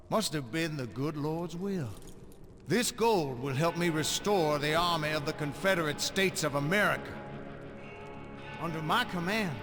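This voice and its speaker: A man playing a proud, vengeful Confederate colonel in a Western game, grandiose and theatrical. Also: on the high side. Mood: triumphant. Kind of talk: dramatic video game acting. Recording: long reverb with loud music.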